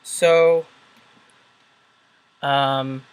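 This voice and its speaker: A man's voice: mid-range voice, flat delivery, extremely slow, clean tone, low-quality recording. A man who makes videos, hosting a casual chat, talking hesitantly and searching for his words. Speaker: video maker, host. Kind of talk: casual conversation.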